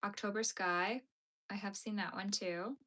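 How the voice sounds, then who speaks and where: Bright-voiced Canadian woman chatting while crocheting. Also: medium pitch, steady tone, unhurried. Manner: reads and answers chat comments while crocheting. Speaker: crochet livestreamer. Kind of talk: casual livestream chat.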